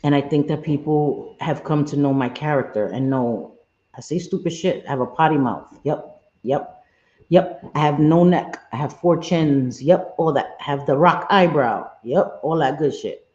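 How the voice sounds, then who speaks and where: Husky-voiced woman livestreamer, blunt and earnest. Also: deep voice, normal speed, darkish tone. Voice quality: husky smoker's voice. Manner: blunt, earnest, salty language, repeats phrases. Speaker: live stream host. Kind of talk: candid livestream chat, reading chat comments. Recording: muffled roomy home stream audio.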